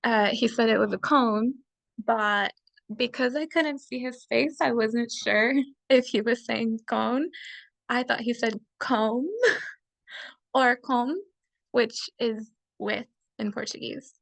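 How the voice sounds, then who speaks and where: A young woman walks through a class slideshow over a video call, chatty and quick to laugh, dropping fillers as she goes. Her voice sits a little high and has a gravelly fry, and she takes her time. The sound is dry and close, with no room around it. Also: steady tone, a bit bright, dry recording. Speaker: student presenting a class assignment. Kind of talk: casual slideshow presentation.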